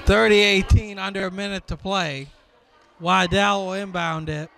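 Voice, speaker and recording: A man doing live play-by-play of a school basketball game, calling plays in slow clipped bursts with occasional shouts. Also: high voice, steady tone, background noise. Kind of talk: live sports commentary. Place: school gym with crowd. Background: crowd and bouncing ball.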